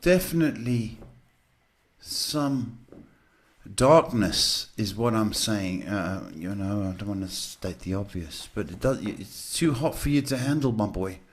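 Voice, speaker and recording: A man hosting live psychic readings, talking casually and haltingly as he advises people, often checking in with a short questioning word. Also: on the low side. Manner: halting, repeats "okay" as a check. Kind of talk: live advice to callers, casual spiritual talk.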